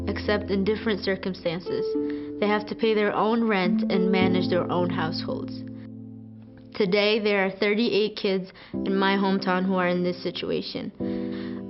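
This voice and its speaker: Earnest schoolgirl narrating over guitar. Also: mid-range voice. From Ethiopia. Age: teens. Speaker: student. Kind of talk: scripted video narration. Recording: muffled, over guitar music.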